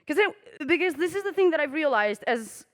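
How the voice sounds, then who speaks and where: Lively young woman speaking on stage. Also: brisk, no echo, clean studio sound. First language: Portuguese. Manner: candid, self-deprecating, casual with swearing and fillers; acts out quoted dialogue with jumps in pitch. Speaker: conference speaker. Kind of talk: conference talk. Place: on a conference stage.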